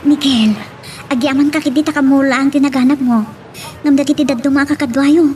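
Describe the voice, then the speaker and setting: A woman's voice: high voice, steady tone, fairly quick, darkish tone, close to an everyday voice. A woman playing a caring, nagging young daughter in a radio-style drama. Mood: worried, scolding. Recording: faint background noise.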